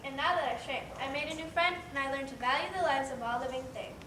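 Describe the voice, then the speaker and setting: A woman's voice: high voice, quick pace, close to an everyday voice. A woman playing an earnest, friendly young girl in a children's stage play, with a plain-spoken delivery. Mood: sincere. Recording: echoey stage, far mic, clear noise.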